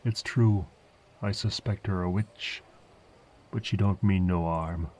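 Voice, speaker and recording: A man's voice: low voice, fairly even intonation, slow pace, darkish tone, a little hiss or hum. A man voicing an old, chatty village farmer with a rambling dialect, in a fantasy audio roleplay. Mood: curious, wary. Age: older. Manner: folksy, rambling rustic dialect.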